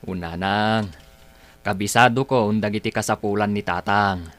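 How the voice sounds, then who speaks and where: An actor playing a calm, dutiful adult son in a radio drama, earnest and reassuring. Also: male voice, lowish voice, moderate pace, natural voice. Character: calm, dutiful adult son, elder brother, patient with father.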